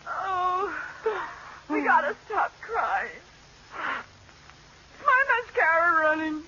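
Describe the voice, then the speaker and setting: Shrill, very high-pitched radio sitcom wife. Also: female voice, animated, slow, sharp tone, a little hiss or hum, heavily acted. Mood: tearful. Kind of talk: 1940s-50s radio comedy acting. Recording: muffled old radio broadcast.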